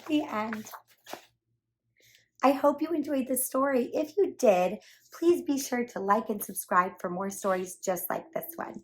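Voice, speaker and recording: A woman hosting a children's storytime, reading a picture book aloud with animated, playful emphasis. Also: a little high, measured pace, low-quality recording. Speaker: children's storytime reader and host.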